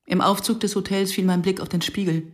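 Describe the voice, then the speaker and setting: A woman's voice: medium pitch, steady tone, a little fast, lightly acted. An actress playing a guarded, reflective woman in her early fifties who narrates and converses soberly in a German radio drama. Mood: dry, reflective. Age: middle-aged.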